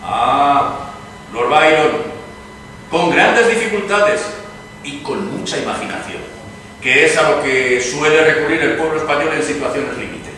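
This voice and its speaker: Actor as earnest Spanish liberal gentleman, distant echoing hall. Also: a little high, measured pace, slight background noise. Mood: earnest, emphatic. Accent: Spain. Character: earnest liberal Spanish gentleman recounting his country's history. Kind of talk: staged radio-play reading, declamatory. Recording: echoey hall from far off, music behind.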